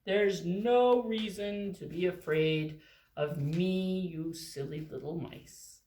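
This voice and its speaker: A woman voicing a big friendly male elephant who talks slowly and kindly to little mice. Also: on the low side, mellow, reverberant.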